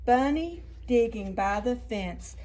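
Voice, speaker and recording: A woman reading stories aloud to young children as a storytime host, expressive and deliberate, stretching out key words. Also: a little high, a little hiss or hum. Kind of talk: read-aloud storytelling for preschoolers.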